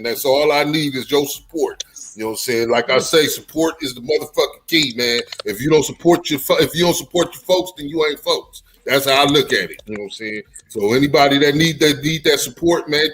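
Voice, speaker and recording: A man's voice: mid-range voice, fairly quick, a bit bright, a little hiss or hum. A man hosting a casual podcast, signing off in laid-back slang and repeating "you know what I'm saying". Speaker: podcast host.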